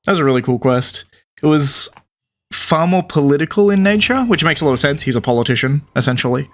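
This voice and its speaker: A man's voice: fairly high pitch, lively intonation, fairly quick. A man giving casual commentary as he reacts to a video game story.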